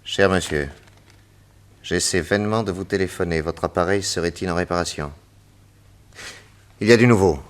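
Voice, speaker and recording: An actor playing a confident, bold detective chief who reasons deliberately in a French radio drama. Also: male voice, low voice, close to an everyday voice. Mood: calm deduction. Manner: deliberate, reasoning, self-assured.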